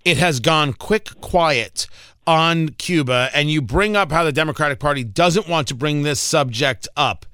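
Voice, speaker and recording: A man hosting a political interview, asking long, deliberate, emphatic questions in halting phrases. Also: on the high side, bright, forceful tone, smooth, dry recording, studio quality. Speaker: radio interviewer, host.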